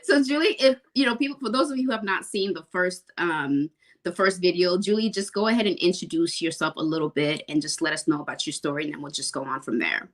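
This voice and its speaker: A woman's voice: on the low side, quick pace. A young adult woman hosting a podcast, enthusiastic and loose, with many fillers. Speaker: podcast host.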